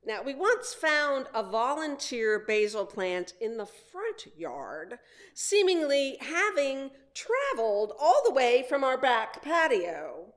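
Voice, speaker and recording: A woman's voice: high-pitched, very animated, slow pace. A woman giving a conversational sermon built on personal stories, with self-deprecating humor. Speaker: minister preaching.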